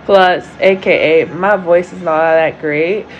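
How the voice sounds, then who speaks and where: A woman with a raspy voice co-hosting a podcast, talking in a loose, casual way about faith and friendship. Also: lowish voice, steady tone, highs cut off, faint music behind. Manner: unhurried, loose, lots of "like" and "you know". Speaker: podcast co-host. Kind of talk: casual faith testimony chat.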